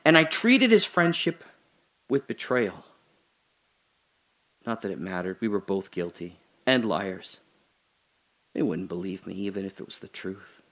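An actor playing a guilt-ridden trapped man in an audio drama monologue, halting and intense, confessing and pleading. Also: sits low, lively intonation, full character voice. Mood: guilty, hushed. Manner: halting, intense, swinging from hushed confession to anguished cries.

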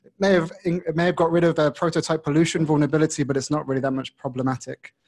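A man with a fairly high voice hosts a live meetup. He introduces things casually, with a chatty, joking manner aimed at the room. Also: level tone, fast talker, darkish tone. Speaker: meetup host. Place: meetup room before a seated audience.

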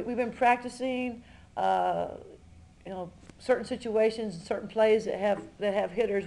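A middle-aged woman, a college basketball coach, giving conversational postgame press conference remarks, often halting and repeating words as she goes. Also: mid-range voice, dark tone. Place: indoor press room in front of a backdrop.

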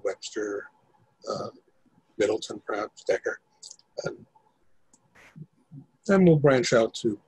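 An older man, a playwright explaining his work in halting, precise, measured phrases on a video call. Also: on the low side, darkish tone, rough recording. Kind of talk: considered explanation in discussion.

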